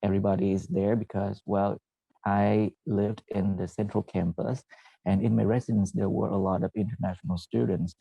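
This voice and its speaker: Low gravelly man, halting. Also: flat delivery, no room sound, lo-fi sound. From Vietnam. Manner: halting, frequent "uh" and self-corrections. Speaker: former PhD student presenting. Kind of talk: presentation to students.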